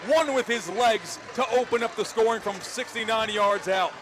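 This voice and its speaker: High-pitched, emphatic male play-by-play announcer. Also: normal speed. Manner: emphatic, dramatic phrasing. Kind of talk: excited live football commentary.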